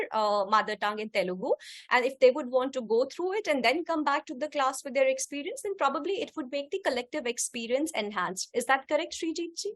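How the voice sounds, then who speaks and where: A high-voiced woman from Kashmir moderates an online course discussion. She is a native Kashmiri speaker. She talks in an effusive, personal way and speaks warmly to the other participants.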